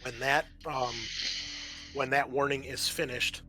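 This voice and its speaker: A man's voice: medium pitch, little pitch movement, measured pace, bright, forceful tone, soft background music. A man narrating an improvised tabletop game as game master, hesitating as he searches for words.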